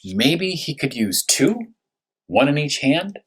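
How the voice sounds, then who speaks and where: A man who teaches first grade, talking through a read-aloud story for young children, thinking aloud with questions and slowing to stress key words. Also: fairly high pitch, big pitch swings, breathy edge.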